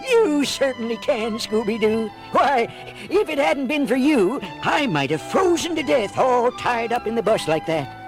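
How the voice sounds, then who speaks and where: A man playing a kindly, flustered older gentleman with a halting delivery in a cartoon audio drama. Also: very high-pitched, sing-song, music in the background, heavily acted, poor audio. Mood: warm, grateful.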